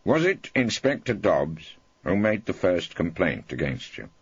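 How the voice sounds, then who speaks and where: A man's voice: low voice, very animated, measured pace, husky, dry recording, highs cut off, heavily acted. A man voicing a combative, irreverent old English barrister in an audiobook, theatrical and relentless, with sardonic cross-examining. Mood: hectoring. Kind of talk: audiobook character acting.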